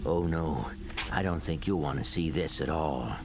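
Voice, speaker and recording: An actor playing a weary old psychic agent in a video game, guilt-ridden and cryptic, with a gravelly, halting, confessional delivery. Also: male voice, a bass voice, very noisy. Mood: ominous. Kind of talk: video game cutscene acting. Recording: loud music under the voice.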